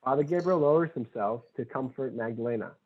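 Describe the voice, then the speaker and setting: A man's voice: mid-range voice, fairly quick. Man reading stage directions, phone-like sound. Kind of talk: scripted narration at a table read. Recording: tinny phone-like audio.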